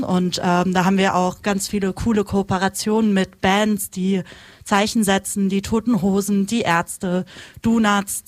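A woman activist speaking informally as she introduces herself at a live panel. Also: medium pitch. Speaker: activist from an anti-racism initiative.

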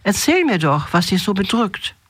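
A woman voicing a kindly, unafraid little old lady in a fairy tale, gentle and understanding. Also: mid-range voice, very animated, moderate pace, slightly rough, dry recording, studio quality, voice pushed up. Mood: gentle, curious. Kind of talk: fairy tale read aloud.

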